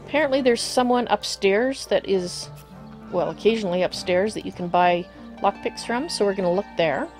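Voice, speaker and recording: A woman streaming a video game live, slightly hoarse from a cold, chatting with viewers and thinking aloud as she plays. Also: medium pitch, normal speed, a little mellow, soft background music. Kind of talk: casual live gameplay commentary.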